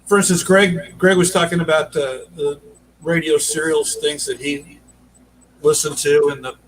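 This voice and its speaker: A man's voice: on the high side, fairly even intonation, moderate pace, low-quality recording. An older man chatting casually as a podcast guest on a video call, modest and hesitant, sounding away from the mic with faint noise. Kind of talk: casual chat.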